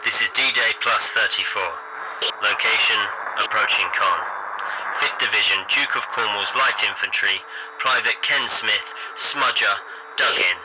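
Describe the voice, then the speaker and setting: An actor playing a formal British military radio operator, giving terse, staccato wartime reports in an audio play. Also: male voice, lowish voice, a little slow, very noisy, natural voice. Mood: terse, official. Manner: clipped, staccato, official.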